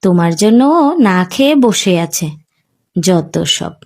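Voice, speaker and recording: A woman's voice: fairly low pitch, very animated, slow pace, a little mellow, pitched below the performer's own voice, full character voice. A woman voicing a scheming, resentful cartoon stepmother who grumbles and scolds behind a sweet front. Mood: irritated. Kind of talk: Bengali cartoon voice acting.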